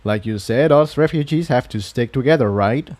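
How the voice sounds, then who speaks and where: Man playing a polite, mellow male pony. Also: mid-range voice, moderate pace, close to an everyday voice. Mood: friendly.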